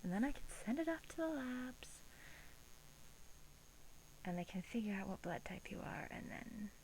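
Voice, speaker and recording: A woman playing a sweetly menacing, teasing vampire in a soft, breathy ASMR roleplay, often dropping into a whisper, coaxing and condescending. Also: medium pitch, lively intonation, normal speed, close to an everyday voice, rough recording. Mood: soothing, clinical. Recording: faint hiss.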